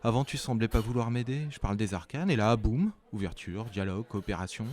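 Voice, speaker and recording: A man's voice: fairly low pitch, brisk, darkish tone, lightly acted. A man playing a blunt, authoritative military captain who threatens in colloquial speech, in acted drama dialogue. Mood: firm, threatening. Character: strong, weary military captain of noble authority, pressuring an informant.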